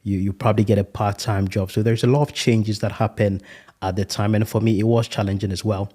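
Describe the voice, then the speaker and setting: Earnest low-voiced man from Ghana. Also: little pitch movement, mellow. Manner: earnest, reflective, measured with fillers. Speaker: guest, restaurant franchise owner. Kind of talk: podcast interview.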